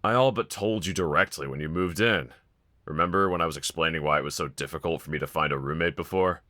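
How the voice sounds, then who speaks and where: A man's voice: a bass voice, quick pace, sharp tone, dry recording, clean studio sound. A man voicing a wanted young male villain in a fan audio roleplay, talking to one listener in a casual, sardonic, teasing drawl. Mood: incredulous, sardonic.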